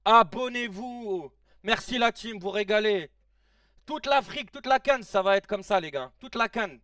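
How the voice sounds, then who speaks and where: A man in his late thirties hosting a casual live stream, reacting excitedly to a football match in slangy chat and shouting at the players. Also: sits high, unhurried, smooth, dry recording, clean studio sound, far from the mic. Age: 30s. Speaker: live-stream watch-along host.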